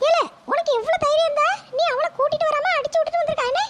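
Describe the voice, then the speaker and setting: A woman playing an angry village mother, scolding her son at speed. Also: steady tone. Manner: rapid shrill scolding. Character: angry mother, daughter-in-law, loud and quick to blame. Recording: loud noisy recording with clicks.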